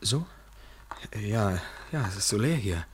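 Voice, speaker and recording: An actor in a German radio play as an uneasy, lonely bar customer, speaking in a subdued, hesitant, absent-minded way. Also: male voice, fairly low pitch, animated, slow pace, husky, exaggerated voice. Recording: faintly noisy old radio recording.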